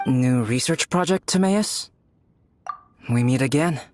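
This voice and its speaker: Game role: calm, polite young male scholar, medium pitch, measured, precise, subtly wry. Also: soft background music. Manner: measured, composed, articulate. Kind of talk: video game voice acting.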